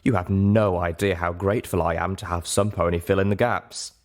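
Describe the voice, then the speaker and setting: A man voicing a composed, kindly female unicorn with a measured, articulate and firm delivery in an audiobook story. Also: sits low, level tone, close to an everyday voice. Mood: grateful.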